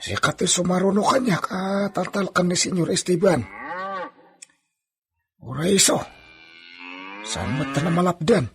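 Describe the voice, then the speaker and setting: A man's voice: high voice, a little slow, vocal fry, long pauses, crowd noise. An actor playing a bossy, scornful old hacienda foreman in an Ilocano radio drama. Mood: brooding.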